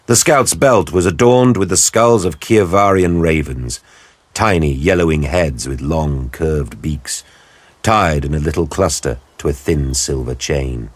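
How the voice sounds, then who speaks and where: A man narrating an audio drama in a deep, husky voice with grave, deliberate storytelling. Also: slight background noise.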